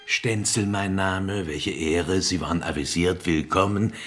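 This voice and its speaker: An actor playing an obsequious, ceremonious provincial innkeeper in a German radio play. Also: male voice, low-pitched, measured pace, over loud music. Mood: servile, formal. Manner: servile, clipped, ceremonious. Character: obsequious, formal provincial innkeeper, eager and proud.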